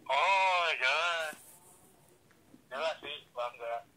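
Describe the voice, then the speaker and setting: A young man giving casual, halting answers as a student being interviewed over the phone. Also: a little high, lively intonation, crowd noise. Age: young adult. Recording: noisy, sharp telephone line.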